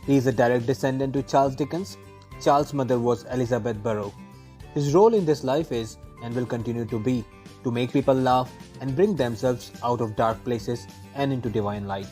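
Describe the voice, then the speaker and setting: Mellow-voiced man introducing a speaker. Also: mid-range voice, soft background music. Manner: measured, reads a prepared introduction. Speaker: event host.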